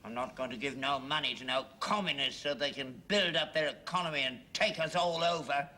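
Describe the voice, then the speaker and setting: A man's voice: mid-range voice, brisk, bright, forceful tone, slight background noise, natural voice. An actor playing a stubborn, patriotic old working-class father with a London accent, grumbling bluntly and indignantly in a British sitcom. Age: older.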